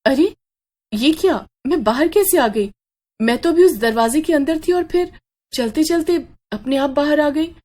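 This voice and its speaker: A woman's voice: sits high, expressive, unhurried, warm tone, voice pushed up. A woman voicing a frightened but brave young wife in a horror story, with an urgent, anxious manner. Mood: bewildered.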